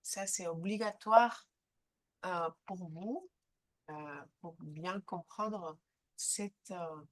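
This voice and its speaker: A woman with a head cold leads a live online training session, speaking haltingly with frequent filler sounds. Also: lowish voice. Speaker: seminar trainer.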